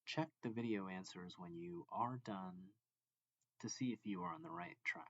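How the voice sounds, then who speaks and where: A man reading a math lesson aloud as a teacher, deliberately and step by step, phrase by phrase. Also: fairly low pitch, sing-song, vocal fry, dry recording, dull audio. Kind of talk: slow read-along lesson narration.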